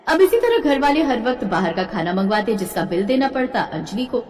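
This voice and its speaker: Animated female storyteller in heavy echo. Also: on the high side, brisk. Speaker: narrator. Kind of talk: scripted story narration. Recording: echoey hall-like reverb.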